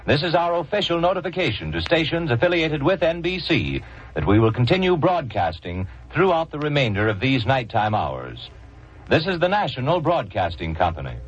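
A man making formal, deliberate announcements as a 1940s network radio newsman, on an old broadcast recording. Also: mid-range voice, sing-song, muffled recording.

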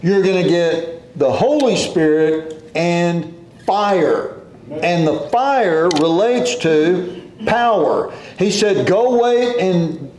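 A man preaching a sermon in an unhurried, folksy way, quoting scripture as he goes. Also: high-pitched, a little mellow, reverberant, poor audio.

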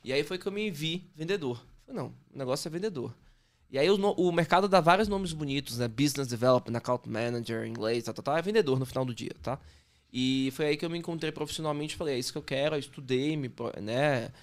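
A man's voice: on the high side, fast. A man in his thirties with a Bahian Brazilian accent, chatting casually as an interview guest. He talks informally, with slang and frequent self-corrections. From Salvador, Bahia, Brazil. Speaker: podcast guest, sales consultant. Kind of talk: casual interview chat, telling career story.

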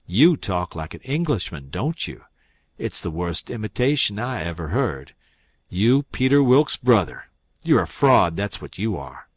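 A man's voice: mid-range voice, expressive, measured pace, no room sound, lightly acted. A man voicing a blunt, honest, indignant doctor in an audiobook, scolding and emphatic. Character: blunt, indignant iron-jawed male doctor, stern and honest.

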